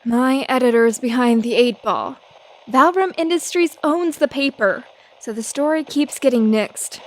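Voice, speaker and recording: An actress playing a brash, tenacious 1930s newspaper reporter, feisty and full of snappy period slang. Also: female voice, high-pitched, measured pace, poor audio. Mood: insistent. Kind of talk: old-time radio drama acting.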